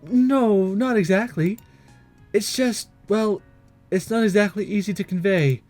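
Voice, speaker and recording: A man's voice: sits high, unhurried, darkish tone, faint music behind. A man voicing an earnest, dutiful schoolgirl class representative in a visual novel, with hesitant, careful, formal delivery. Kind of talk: visual novel dialogue read aloud.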